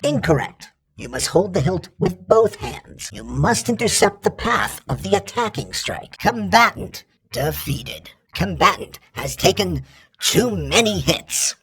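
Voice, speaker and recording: An actor voicing an exacting combat training droid in a video game, formal and impassive, with a gravelly, husky robotic voice. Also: male voice, high-pitched, exaggerated voice. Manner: formal, impassive, precise, instructional. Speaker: combat instructor. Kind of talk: scripted video game tutorial lines.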